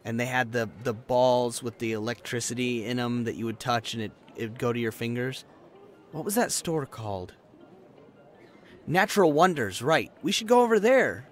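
A man's voice: medium pitch, animated, normal speed, faint music behind, natural voice. A man playing a brash, chatty young adult friend in a conversational roleplay audio drama, talking bluntly and casually.

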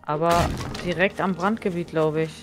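Woman, excitable, teasing, casual gaming chat, quick exclamations, clear background music. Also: on the low side. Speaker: co-op gaming partner.

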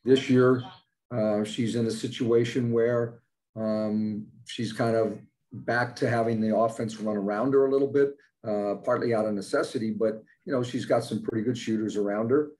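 A man's voice: fairly low pitch, fairly even intonation, normal speed, a little mellow, low-quality recording. An older man, a basketball head coach, giving plain-spoken postgame answers full of fillers over a video call. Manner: plain-spoken, many fillers, matter-of-fact. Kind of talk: postgame press conference answers. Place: indoors in front of a logo backdrop. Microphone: video call, webcam at desk.

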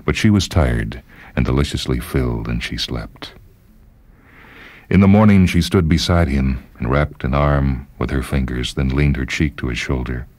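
A man's voice: very deep voice, normal speed, darkish tone, no room sound. A man narrating a literary short story dramatically, with music behind him.

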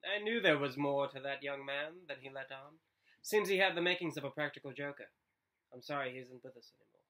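Man as a bright, chirpy female schoolteacher. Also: mid-range voice, close to an everyday voice, poor audio. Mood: amused. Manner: brisk, cheerily announcing. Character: bustling, chirpy female sixth-grade teacher.

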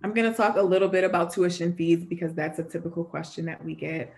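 A young woman with a low voice leads an online information session over a video call from a room at home. She talks steadily and explains each step. Her pitch stays flat and even throughout. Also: quick pace, creaky. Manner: steady, explanatory, relays questions from the chat. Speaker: admissions moderator of an online Q&A. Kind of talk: informational webinar Q&A. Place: home room with framed pictures.

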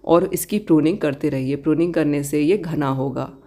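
A woman giving a casual tour of her balcony garden outdoors, gently pointing out plants and giving tips to viewers. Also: deep voice, normal speed. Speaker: garden vlogger.

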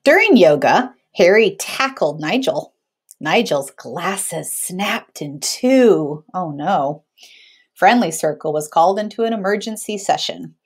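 Woman reading aloud, very animated pitch. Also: slow, slightly rough. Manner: teacherly, checks in with listeners. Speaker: librarian reading aloud to children. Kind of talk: expressive picture-book read-aloud.

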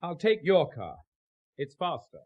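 A man's voice: on the high side, wildly swooping pitch, measured pace, a little mellow, no echo, lo-fi sound. A man playing a troubled, evasive British husband in a 1970s radio drama, with a hesitant, clipped delivery. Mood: impatient. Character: troubled, evasive middle-class husband, shaken and unwell.

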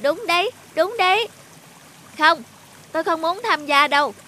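A woman voicing a small, young talking fish in an animated fairy tale, expressive and earnest. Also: extremely high pitch, unhurried, a little hiss or hum, full character voice. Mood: teasing.

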